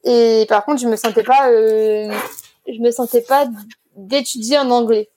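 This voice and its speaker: Higher-voiced young woman chatting casually. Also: fairly even intonation, unhurried, mellow. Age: 20s. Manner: chatty, explanatory, laughs easily. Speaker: interview guest. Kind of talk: casual personal interview.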